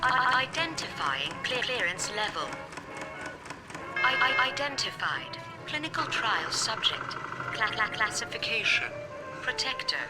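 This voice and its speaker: A malfunctioning female computer announcer from a game. She speaks very deliberately and impersonally, syllables catching in a glitchy stutter, under very loud music. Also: on the high side, sing-song. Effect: glitchy machine stutter.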